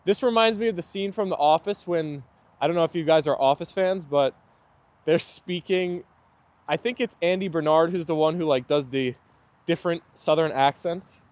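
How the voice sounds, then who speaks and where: A man's voice: a little high, moderate pace, a little mellow, no room sound. Chatty man hosting a live stream. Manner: friendly, chatty, thanks viewers often. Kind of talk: casual live chat reading viewer comments.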